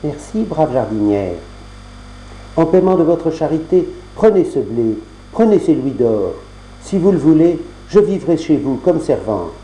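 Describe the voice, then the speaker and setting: A man voicing a sweet, obedient young maiden who speaks softly, in an echoey room. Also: a little high, big pitch swings, measured pace. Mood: grateful.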